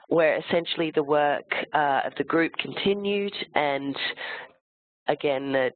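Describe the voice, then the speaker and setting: A webinar presenter with a cold and a croaky voice, explaining the material step by step, slide by slide. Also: medium pitch, no echo. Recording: tinny phone-like line, dry sound.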